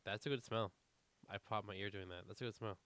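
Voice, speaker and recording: A man's voice: lowish voice, fairly even intonation, fairly quick, fairly bright tone. A man co-hosting a casual podcast, rambling with lots of fillers, a little congested. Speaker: podcast co-host. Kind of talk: casual chat.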